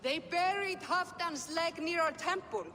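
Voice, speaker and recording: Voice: very high voice, unhurried, pushed and bright, heavily acted. An actor playing a boisterous, mocking Norse villager in a video game. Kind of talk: video game cinematic acting. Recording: distant, noisy reverberant hall.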